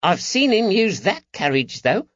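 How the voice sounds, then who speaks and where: A man voicing a cheeky, eager young street urchin with a high-pitched voice and slangy talk, in an audiobook. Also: big pitch swings, a little slow, fairly bright tone, no echo, highs cut off, exaggerated voice. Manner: colloquial street slang, bargaining.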